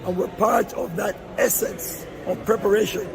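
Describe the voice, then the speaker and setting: A hoarse older man from Panama, a retired canal manager, speaking earnestly and proudly in a street interview. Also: high voice, fairly even intonation, normal speed. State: hoarse, lost voice. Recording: outdoor fair with crowd noise. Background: crowd at an outdoor fair.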